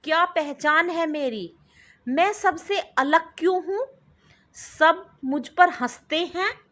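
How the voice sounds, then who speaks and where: Distressed young girl played by a female storyteller, high voice, slow, pleading, emotional story dialogue. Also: fairly bright tone, heavily acted. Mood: tearful, pleading.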